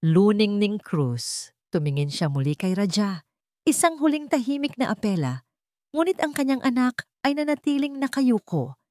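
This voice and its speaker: A woman narrating a dramatic family story in a measured, solemn way. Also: medium pitch, very animated, mellow, dry recording, clean studio sound.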